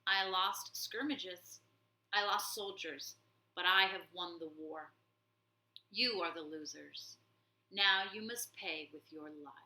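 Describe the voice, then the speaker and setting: A cruel rat warlord from a storybook, acted out by a woman, boastful and declamatory. The delivery is slow and forceful, with a roomy sound around it. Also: fairly low pitch, heavily acted. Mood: menacing, triumphant. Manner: declamatory, shouted threats.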